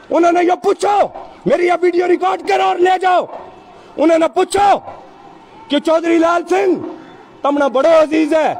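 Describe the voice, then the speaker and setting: A man, giving an impassioned political speech into podium microphones on an outdoor stage and shouting rhetorical questions. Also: extremely high pitch, vocal fry. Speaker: political rally speaker. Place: outdoor tented stage at a rally. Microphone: several podium microphones.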